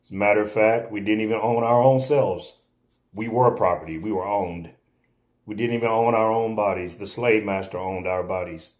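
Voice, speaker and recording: A man's voice: lowish voice, fairly even intonation, normal speed, darkish tone. A man from the United States giving impassioned spoken commentary, emphatic and insistent, often repeating his points. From United States (born in Oklahoma). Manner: repetitive, emphatic, insistent, occasional laughs. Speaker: video commentator.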